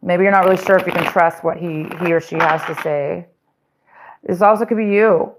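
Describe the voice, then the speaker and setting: Woman, tarot reader, lowish voice, chatty and meandering, voice getting worn. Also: steady tone, fairly quick, background noise. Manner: chatty, rambling with fillers and restarts. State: losing her voice. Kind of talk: casual live tarot reading.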